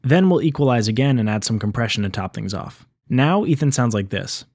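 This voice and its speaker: A man hosting an explainer video, reading scripted narration in a clear teaching structure. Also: medium pitch, lively intonation, fairly quick, darkish tone, dry recording, studio quality. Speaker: video essay host on game sound design.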